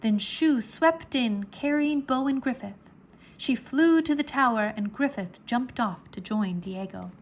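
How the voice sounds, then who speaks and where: A woman reads a children's adventure aloud as narrator. Her voice sits on the high side, and she takes her time with measured, dramatic, expressive phrasing. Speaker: storybook narrator.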